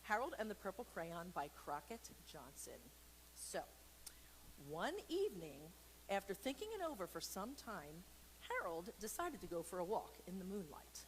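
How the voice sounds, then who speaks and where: Playful chatty lady doing storytime for kids, rambles a bit, normal pitch, bit of background noise. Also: very animated, moderate pace, poor audio. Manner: playful, extroverted, self-deprecating, rambling. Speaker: children's story reader for a church. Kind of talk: informal read-aloud storytime with chatty asides to children.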